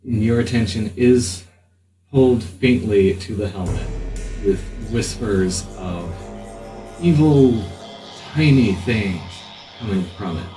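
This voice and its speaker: A man's voice: fairly low pitch, takes it slowly, mellow. A man improvising narration as game master of a tabletop role-playing game. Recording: roomy, distant mic, faint music underneath.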